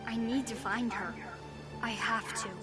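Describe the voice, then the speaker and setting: A woman voicing a determined young girl in a video game who is searching for her missing cousin. She speaks earnestly and softly. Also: on the high side, fairly even intonation, unhurried, lightly acted. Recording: game audio with loud music. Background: loud game music.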